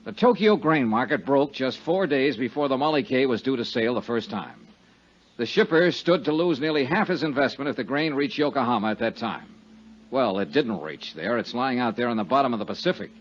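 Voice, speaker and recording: Guy playing a hardboiled american private eye, sure of himself, swingy raspy delivery, muffled 50s radio drama sound. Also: mid-range voice, animated, fairly quick, natural voice. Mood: insistent. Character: hard-boiled male insurance investigator, brooding and sure of himself. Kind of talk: 1950s radio detective drama acting and narration. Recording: dull, muffled 1950s radio recording.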